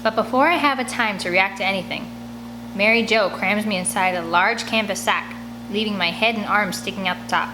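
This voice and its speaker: A woman's voice: medium pitch, fairly quick, noisy background. A woman reading a first-person story aloud expressively, as an audiobook narrator. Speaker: audiobook reader.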